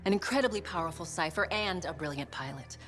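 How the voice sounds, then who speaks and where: An actress playing a composed, guarded spymaster in a video game, speaking in a measured, commanding manner. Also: female voice, on the low side, a bit bright, natural voice. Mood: grave, urgent. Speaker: game character, intelligence chief. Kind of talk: cinematic game voice acting.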